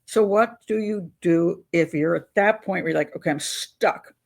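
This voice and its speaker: A woman's voice: fairly low pitch, moderate pace, creaky. An older woman hosting a casual advice vlog, talking in a chatty, candid, rambling and self-deprecating way. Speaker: advice show host on YouTube.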